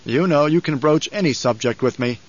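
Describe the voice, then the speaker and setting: A man's voice: fairly high pitch, fairly quick, dull audio, natural voice. A man voicing a proud, stern and weary nobleman in an audiobook, with an authoritative, clipped delivery. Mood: calm, probing.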